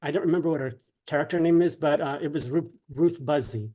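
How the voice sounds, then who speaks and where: Guy guest on a podcast, slightly high voice, hesitant polite casual answers. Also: fast, warm tone. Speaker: interview guest, dramatist and author.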